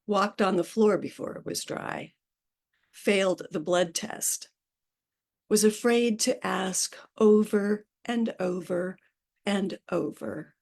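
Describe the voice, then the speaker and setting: A woman reading her own poetry aloud at a live event, with a slow, measured delivery and hesitant asides between poems. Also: mid-range voice, steady tone, creaky, a little mellow. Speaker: poet reading her work.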